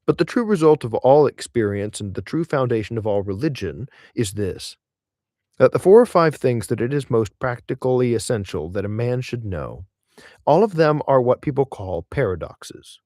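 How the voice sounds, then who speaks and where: A man reading a literary essay aloud in audiobook style, in a measured, expressive way with deliberate emphasis. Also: medium pitch, no echo, studio quality.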